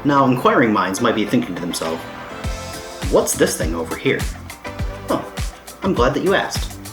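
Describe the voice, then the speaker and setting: American male DIY vlogger, mid-pitched, informal and playful tutorial chat, music underneath. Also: sing-song, normal speed. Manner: laid-back, playful, informal. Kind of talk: casual hands-on tutorial chat. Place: indoors at home, windows open.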